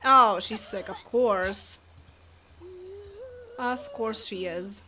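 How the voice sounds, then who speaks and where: A woman's voice: medium pitch, very slow, bright, forceful tone. A young woman from Poland, chatting casually and laughing as she streams a life-simulation game. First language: Polish. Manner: chatty, laughs often, talks to game characters. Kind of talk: casual live game commentary.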